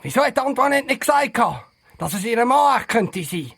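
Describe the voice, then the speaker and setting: A man with a Swiss German accent playing an excitable, self-important, bossy detective with a creaky voice in a children's audio play. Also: very high-pitched, normal speed, full character voice. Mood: sorting it out. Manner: bossy, repeating himself, dramatic.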